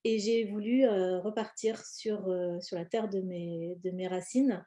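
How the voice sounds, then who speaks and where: Mellow-voiced woman presenting, often hesitant. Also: mid-range voice, steady tone. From born in India, grew up in France. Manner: hesitant, pausing often mid-phrase. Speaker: presenter, cosmetics brand founder. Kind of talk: informal live presentation, explanatory talk.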